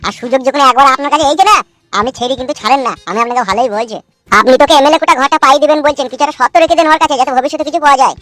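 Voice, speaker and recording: A man's voice: very high-pitched, rapid-fire, pushed and bright, no room sound. A man voicing an eager, fawning henchman of a village politician in a Bengali cartoon, with a rural West Bengal accent. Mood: eager, advising. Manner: eager, advising, obsequious. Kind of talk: comic cartoon dialogue.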